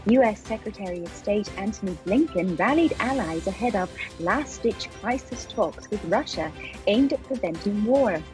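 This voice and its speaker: A woman presenting the news on a live stream, reading in a measured, even way. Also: mid-range voice, over music.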